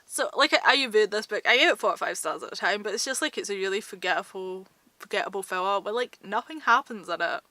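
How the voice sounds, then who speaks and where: A woman's voice: mid-range voice, animated, fast, pushed and bright, dry recording. A woman chats casually about books to her viewers, informal and self-deprecating in manner. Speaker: book vlogger. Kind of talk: casual book chat to viewers.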